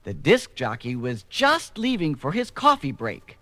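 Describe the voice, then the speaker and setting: A man's voice: fairly high pitch, huge pitch swings, unhurried, a bit bright, no room sound. A man narrating a scripted children's story.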